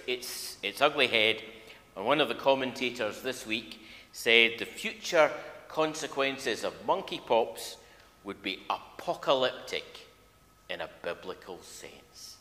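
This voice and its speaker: An older man, a minister, preaching a conversational sermon in a wry, informal way with halting phrases. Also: medium pitch, pushed and bright. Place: large church, at a lectern. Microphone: gooseneck lectern microphone. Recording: echoey church hall.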